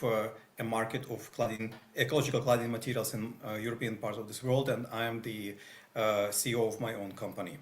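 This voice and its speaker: A man introducing himself haltingly, with many pauses. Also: medium pitch, reverberant. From Ukraine. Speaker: co-host.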